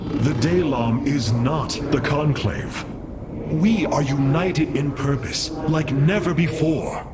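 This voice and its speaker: A man's voice: fairly high pitch, slow, heavy background noise. An actor playing a noble, resolute male alien leader in a video game, speaking in an earnest, formal way. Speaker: game character, leader called Hierarch. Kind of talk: game cutscene voice acting.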